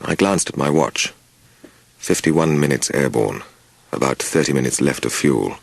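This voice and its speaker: A man reading a first-person story as an audiobook narrator, with measured, deliberate phrasing and dramatic pauses. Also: extremely low pitch, lively intonation, creaky, breathy edge, no room sound.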